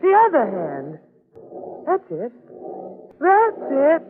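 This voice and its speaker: A spirited, fond young-at-heart wife in a vintage radio play. She is playful and coaxing, with a lively, swooping delivery on a narrow, tinny recording. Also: sits high, slow, crowd noise, heavily acted. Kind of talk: old-time radio drama acting.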